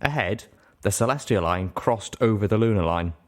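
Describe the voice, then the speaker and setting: Casting: male audiobook narrator, low pitch, measured dramatic storytelling. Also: lively intonation. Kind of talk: scripted first-person story narration.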